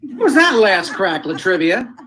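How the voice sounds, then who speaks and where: A man's voice: sits high, big pitch swings, moderate pace, pushed and bright, slightly rough, exaggerated voice. An actor playing a blustery, touchy, folksy husband in old-time radio comedy, cocky and combative in his delivery. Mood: indignant.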